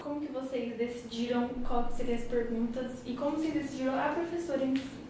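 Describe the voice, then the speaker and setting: A young woman student talks casually, with many fillers between her words. Her voice is fairly high. She is far from the mic in a very echoey room with clear noise behind. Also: steady tone, quick pace. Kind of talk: documentary interview. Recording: very echoey, distant, noisy.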